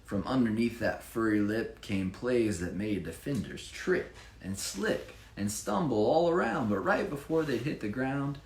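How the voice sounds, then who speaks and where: A man's voice: mid-range voice, animated, unhurried, hardly any pauses. A man, a children's book author, reading a rhyming picture book aloud with dramatic emphasis and informal asides. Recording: echoey room, faint background noise.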